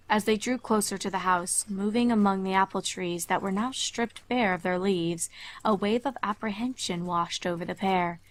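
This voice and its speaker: A woman reading fan fiction aloud as a scripted story narrator. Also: mid-range voice.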